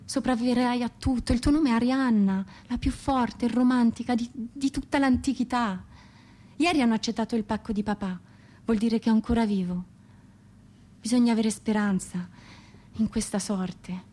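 An actress playing a tormented, romantic and proud woman poet and grieving mother, delivering a dramatic, intense radio monologue with deliberate pauses. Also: fairly high pitch, steady tone, dark tone, natural voice. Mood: anxious, pleading. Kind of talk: theatrical radio drama monologue with poetry recitation.

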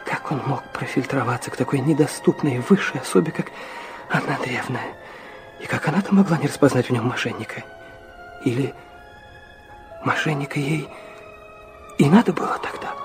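A man's voice: mid-range voice, animated, normal speed, pauses often, over music, close to an everyday voice. An actor playing a feverish, proud young man, narrating his own torments intensely and theatrically in a radio play. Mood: stunned, brooding. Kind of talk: dramatic first-person radio play narration.

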